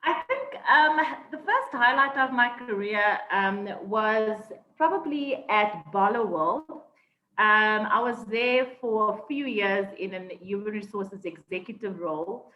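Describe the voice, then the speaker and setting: A woman's voice: medium pitch, animated, unhurried. A South African woman telling her life story in an interview, thoughtful, with many ums and restarts. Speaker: guest. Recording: echoey, dull lo-fi sound.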